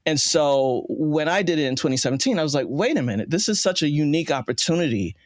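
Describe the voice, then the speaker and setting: A man's voice: a little high, lively intonation, quick pace, no room sound, clean studio sound. An American man speaking as a podcast guest in a casual, reflective interview conversation. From United States. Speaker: podcast guest, audio drama creator.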